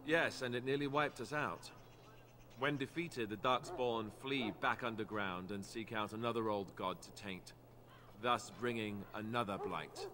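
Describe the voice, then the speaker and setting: A man's voice: lowish voice, measured pace, bright, forceful tone. A man playing a wry, good-natured young warrior in a fantasy video game, with understated, earnest delivery. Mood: solemn, storytelling. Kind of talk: video game dialogue acting.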